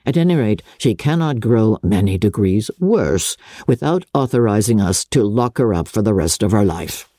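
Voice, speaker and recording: A woman voicing a calm, wry, affectionate older gentleman father, measured and dryly reassuring, in a classic novel reading. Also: rumbling low, no room sound, clean studio sound, pitched below the performer's own voice. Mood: wry reassurance.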